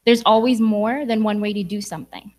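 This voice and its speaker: A woman's voice: medium pitch, fairly quick. A young woman from Sudan giving an earnest, encouraging speech to graduates in a church hall. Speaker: graduation speaker.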